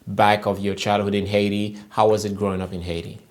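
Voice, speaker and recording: A man's voice: on the low side, level tone, fairly quick. A man hosting a broadcast interview, introducing and questioning a guest. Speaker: TV interview host.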